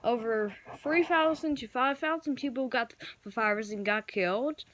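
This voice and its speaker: A woman reading out homemade pretend news bulletins and emergency alerts in a halting, improvised way, with searching pauses. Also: a little high, fairly bright tone, slight background noise, low-quality recording. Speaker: amateur storyteller acting out a mock emergency broadcast.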